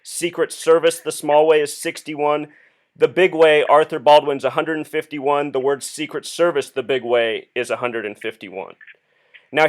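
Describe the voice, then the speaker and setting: Man, slightly high pitch, earnest caller, explanatory monologue, insistent, deliberate, questioning. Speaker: caller phoning the police with a theory.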